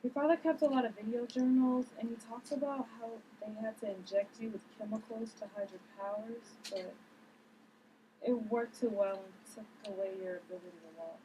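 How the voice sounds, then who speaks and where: Woman reading serious young investigator gal, higher but mellow voice, earnest explaining. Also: steady tone, moderate pace, slight background noise, natural voice, poor audio. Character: serious young female investigator revealing hard truths.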